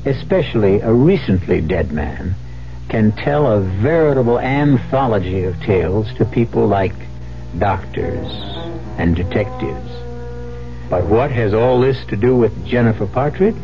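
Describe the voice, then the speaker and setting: An old, slightly dull radio recording with faint music. a wry, ominous mystery host, narrating in measured, dramatic phrases at a middling pitch. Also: male voice, animated. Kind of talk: suspenseful scripted radio narration, 1970s.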